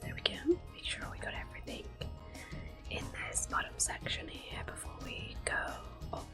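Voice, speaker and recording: A young woman whispering gentle, unhurried commentary while playing a video game for an ASMR video. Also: over music. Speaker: ASMR gaming host.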